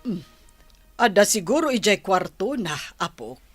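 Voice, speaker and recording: Woman acting slow, doting old Ilocano grandma. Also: mid-range voice, expressive, slightly rough, soft background music. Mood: wondering, concerned. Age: older. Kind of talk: Ilocano comedy radio drama.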